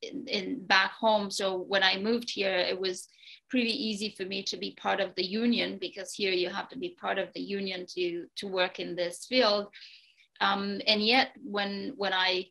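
A woman in her forties from Romania, speaking English with a Romanian accent as a guest on a video call, pausing often with ums and restarts. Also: mid-range voice, level tone, creaky, bright, forceful tone, poor audio. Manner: hesitant, many ums and restarts. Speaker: guest, real estate broker. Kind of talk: casual interview talk. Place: home room, indoors.

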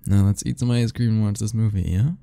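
A man's voice: lowish voice, steady tone, quick pace, creaky, dark tone, clean tone. A young man doing an ASMR roleplay as a teasing, easygoing roommate talking to the listener, laughing often.

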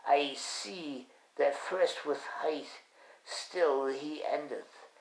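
A middle-aged man reads old poetry aloud at a very slow, halting pace, tripping over archaic words. His voice is medium in pitch with a gravelly creak, recorded in an echoey room at home. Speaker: amateur reader. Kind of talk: reading Middle English verse aloud. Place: kitchen at home, indoors. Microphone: phone or webcam at arm's length.

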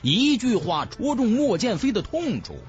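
A man's voice: very high voice, sing-song, normal speed, soft background music. A man narrating a fantasy audiobook in a theatrical, emphatic way.

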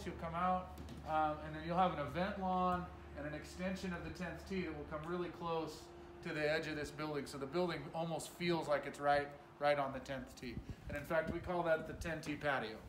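A man leading a casual walking tour of a building site, pointing things out with frequent fillers. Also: a little high. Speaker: tour guide. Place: large unfinished timber building under construction. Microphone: camera from a distance. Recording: echoey room, distant mic, background noise.

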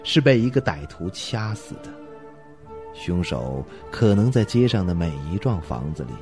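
Male narrator for radio suspense drama, low voice, animated dramatic delivery. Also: moderate pace, faint music behind.

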